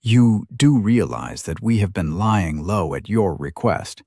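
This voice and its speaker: A man voicing a fiery, haughty young noblewoman in an audiobook, with a sharp scolding manner. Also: deep voice, animated, a little slow, breathy edge, dry recording, studio quality, exaggerated voice. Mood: indignant.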